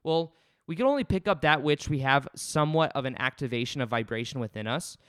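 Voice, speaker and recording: A man in his late twenties with a loud voice, hosting a casual spiritual self-help podcast and talking in an earnest, rambling way with many restarts. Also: mid-range voice, animated, a little fast, clean tone, no room sound, clean studio sound. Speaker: podcast host. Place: home studio.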